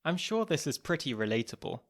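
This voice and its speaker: Man narrating his podcast in measured tones. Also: a little high, very animated. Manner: measured, explanatory delivery. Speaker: podcast host.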